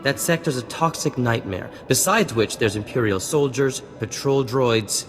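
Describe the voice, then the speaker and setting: An actor playing a suave, boastful and flirtatious hacker in a video game, smooth-talking and theatrical. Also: male voice, medium pitch, expressive, a little slow, faint music behind. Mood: self-assured. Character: suave, boastful male slicer, flirtatious and clever. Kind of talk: video game dialogue acting.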